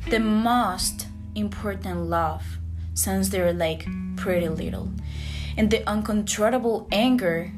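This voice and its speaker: Student from Costa Rica, reads her script slowly, guitar bed under her. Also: medium pitch. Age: young adult. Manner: slow, earnest reading. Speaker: student podcaster. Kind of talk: scripted reading.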